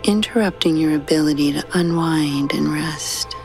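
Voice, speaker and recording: Woman, low voice, guided sleep meditation, slow and hushed, soothing, long pauses, soft music behind. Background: soft background music.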